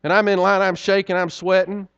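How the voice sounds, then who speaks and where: A man's voice: high-pitched, little pitch movement, fairly quick, a bit bright, clean tone, dry recording, muffled recording. A middle-aged man, preaching a sermon in a folksy, conversational way with frequent asides to the congregation. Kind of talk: sermon storytelling.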